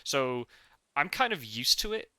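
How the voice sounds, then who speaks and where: A soft-spoken British man chatting casually, circling back and restarting his thoughts. His voice is very bright and crisp. The sound is dry and close. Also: mid-range voice, big pitch swings, a little slow, no echo. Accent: British English with Scottish upbringing. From England. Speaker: podcast host. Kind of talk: casual conversation.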